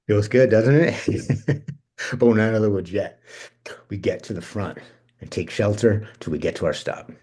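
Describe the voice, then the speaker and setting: A man playing a brash, irreverent schemer with a husky voice. He is restless and casual, pitched a little low, and reads in a naturalistic script-reading style. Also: normal speed, darkish tone. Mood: easygoing. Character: brash, restless, fast-talking slacker cousin, scheming and irreverent. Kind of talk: casual naturalistic table read acting.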